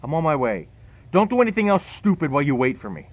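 Muffled phone call, guy acting angry bossy dude, high voice, swings all over, audio drama. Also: sing-song, fast talker, husky. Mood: irate, demanding. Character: irate, bossy young man on the phone. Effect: phone call filter. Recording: dull, lo-fi phone line.

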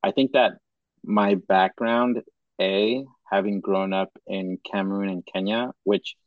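A young man from Madagascar hosts a casual podcast chat. His voice sits fairly low, and he talks in a relaxed, easygoing way, restarting sentences as he goes. The recording is dry and a little dull. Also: measured pace, no room sound. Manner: relaxed, says bro and dope a lot, thinks aloud with restarts. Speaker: podcast host.

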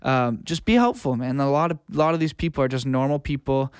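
A man's voice: mid-range voice, steady tone, quick pace, clear, resonant tone, dry recording, clean studio sound. A man speaking informally and earnestly as a guest in a casual interview, giving career advice. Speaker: guest, music producer and audio engineer.

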